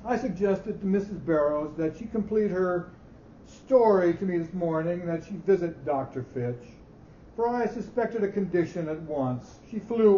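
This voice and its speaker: Man playing a high-voiced, fussy old boss, roomy recording. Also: warm tone. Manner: halting, formal, grave. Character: elderly male company boss, hesitant and fussy. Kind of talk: staged dramatic reading of a short story. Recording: roomy, muffled live recording with hiss.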